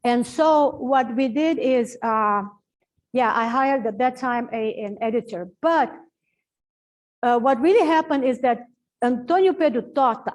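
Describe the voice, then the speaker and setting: A woman's voice: a little high, a little mellow. Chatty woman, far from the mic. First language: Portuguese. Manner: chatty, many fillers and restarts. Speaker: book presenter and translator. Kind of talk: informal book launch talk. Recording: distant mic.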